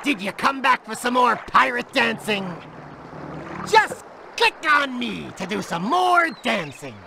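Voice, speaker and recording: A man's voice: very high voice, very animated, slow, gravelly, background noise, exaggerated voice. An actor voicing a cheerful male pirate pig in a children's video game, calling out dance moves with hearty pirate talk. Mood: cheery.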